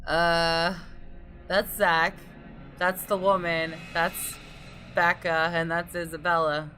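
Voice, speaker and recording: A woman's voice: fairly low pitch, sharp tone. A woman gaming YouTuber reading visual novel narration aloud in a dramatic, storylike way, with game music behind her.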